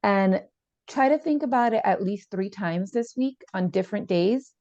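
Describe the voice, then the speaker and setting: A woman playing a calm, supportive family therapist, speaking in a reassuring, slightly hesitant way in a role-played session. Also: mid-range voice, normal speed, natural voice. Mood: patient, encouraging. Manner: reassuring, hesitant, repeats gently. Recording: echoey room, slightly dull sound.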